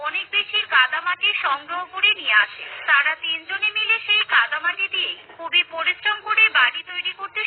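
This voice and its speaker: A woman narrates a children's cartoon story in a steady, even way. Her voice is very high. The recording is narrow and tinny, like a phone line.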